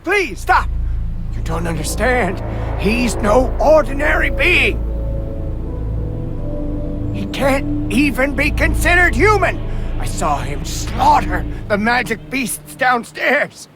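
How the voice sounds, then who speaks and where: A woman's voice: high voice, sing-song, takes it slowly, full character voice. An actress playing a frantic young woman pleading urgently and dramatically in a game cutscene. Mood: desperate, pleading. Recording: music behind the voice.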